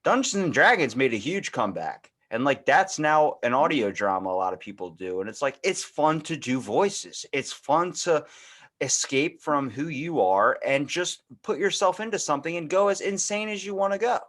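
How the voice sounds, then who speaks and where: A man's voice: on the high side, lively intonation, normal speed, fairly bright tone. A man hosting a podcast, chatting casually and slangily with his guest. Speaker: podcast host.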